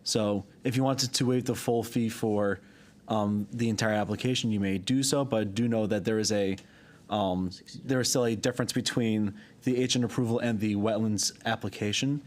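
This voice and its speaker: Halting male town staffer. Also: on the low side, fairly even intonation. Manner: halting, with frequent ums and self-corrections. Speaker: town wetlands staff member. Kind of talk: explaining fees and procedure at a public meeting. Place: town hall meeting room.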